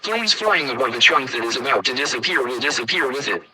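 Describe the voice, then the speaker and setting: A man's voice: mid-range voice, expressive, fast talker, sharp tone, slightly rough, far from the mic, heavily acted. A man playing a formal emergency alert announcer, reading a scripted warning. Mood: formal, solemn.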